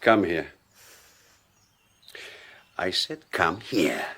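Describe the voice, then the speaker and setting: A tense young husband. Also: male voice, deep voice, big pitch swings, very slow, vocal fry, fairly bright tone, slightly rough, exaggerated voice. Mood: pleading. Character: anxious young South American husband. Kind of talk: 1980s radio drama acting.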